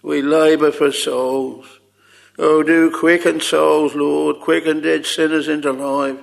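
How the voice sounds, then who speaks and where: A man's voice: a little high, little pitch movement, slow pace, vocal fry. A man leading an extempore public prayer, pleading earnestly in short repeated phrases with old-fashioned thee and thou wording. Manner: short repeated phrases, trailing soft endings.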